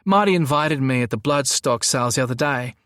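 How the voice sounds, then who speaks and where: A man voicing a flamboyant, cheeky younger man with an edge of nerves, in animated audiobook dialogue. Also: medium pitch, fairly quick, no room sound, studio quality, pitched above the performer's own voice, close to an everyday voice. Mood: cheeky, smooth.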